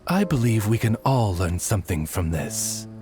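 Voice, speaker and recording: A man voicing a formal, instructive character in a video game dub, with a measured, moralizing manner. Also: on the low side, big pitch swings, over music. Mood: formal, approving.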